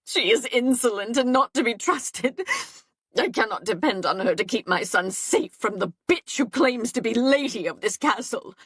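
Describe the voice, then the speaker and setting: A woman voicing a tearful, scheming young mistress, loud, shrill and demanding. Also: animated, moderate pace, husky, no room sound. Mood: accusing.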